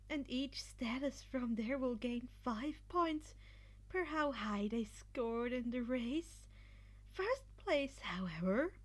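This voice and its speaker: A woman voicing an excitable, theatrical female sports festival announcer with a dramatic, drawn-out delivery in a fan fiction reading. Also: sits high, voice pushed up. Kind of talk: fan fiction podfic character voice.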